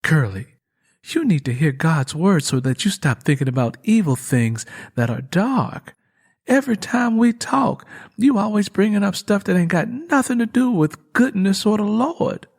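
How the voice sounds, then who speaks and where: Man acting devout country young woman, high-pitched. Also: sing-song, unhurried, darkish tone, husky, exaggerated voice. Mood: scolding. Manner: folksy rural vernacular. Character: devout young pregnant rural woman, worried, gently scolding. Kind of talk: audiobook character dialogue.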